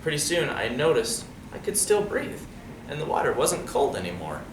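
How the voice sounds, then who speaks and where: A man reading a storybook aloud to young listeners in an expressive, dramatic way. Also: a little high, normal speed. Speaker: storybook reader. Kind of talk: animated read-aloud story with asides to children. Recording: roomy, distant mic, noisy background.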